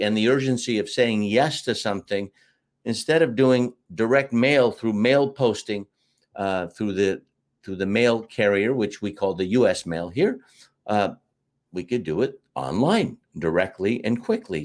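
A middle-aged American man, a marketing teacher talking at length as an interview guest, in an expansive storytelling way with fillers. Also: on the low side. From United States. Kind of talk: casual interview talk.

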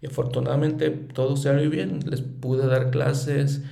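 A man's voice: medium pitch, level tone, a little slow, gravelly, dark tone, reverberant. A middle-aged man giving a reflective religious lesson, earnest and thinking aloud as he speaks. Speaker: scripture study teacher.

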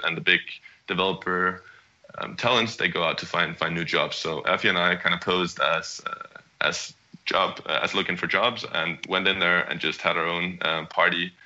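A young man from Denmark, a startup CEO, answering interview questions in a halting way and often restarting his phrases. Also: sits low, pushed and bright, muffled recording.

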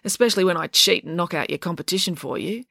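A woman's voice: on the low side, quick pace, gravelly, sharp tone, no room sound, studio quality, exaggerated voice. A woman voicing a fierce, bossy schoolgirl in a children's storybook, with a blunt, sarcastic, commanding manner. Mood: indignant, sarcastic. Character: bossy, scornful, fierce school-age girl.

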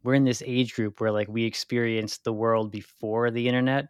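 A man in his early thirties co-hosting a casual podcast, talking in a relaxed, thoughtful way. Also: mid-range voice, moderate pace, clean tone, no room sound, studio quality. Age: 30s. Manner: relaxed, thoughtful, hedging with likes. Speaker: podcast co-host.

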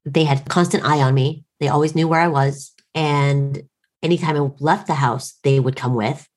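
A woman's voice: deep voice, fairly even intonation, normal speed, darkish tone. A woman in her late thirties with an American accent, telling a wry, self-deprecating story in casual conversation. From United States. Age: late 30s.

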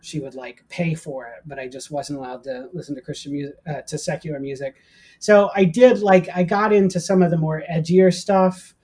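A man's voice: a little high, fairly even intonation, moderate pace, a little mellow, husky. An American man in his forties speaking casually as an interview guest, telling personal anecdotes in a self-deprecating way. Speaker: guest, professor of ancient Christianity.

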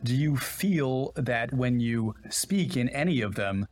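A man co-hosting a podcast, asking thoughtful questions in a relaxed, casual way. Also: mid-range voice, slow pace. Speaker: podcast co-host.

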